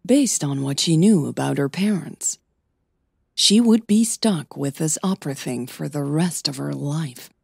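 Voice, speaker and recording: Low, husky woman narrating fiction. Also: a little slow, a little mellow, no echo, studio quality. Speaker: podcast narrator.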